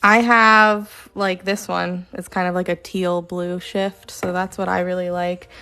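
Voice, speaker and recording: A woman casually chatting during a live stream while she paints, rambling with lots of fillers and sometimes talking softly. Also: lowish voice, unhurried, clean tone. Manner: says she is relaxed, talks softly at times.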